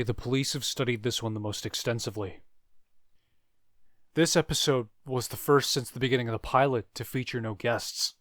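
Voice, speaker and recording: Male horror storyteller, mid-pitched, first-person scripted narration with dramatic pauses, music underneath. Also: a bit bright.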